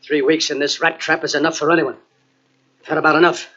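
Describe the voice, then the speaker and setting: A man's voice: on the high side, level tone, fast, sharp tone. An actor playing a menacing, grandiose gangster in an old-time radio crime drama, with bossy tough-guy talk and a frantic edge. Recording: muffled old radio cassette transfer.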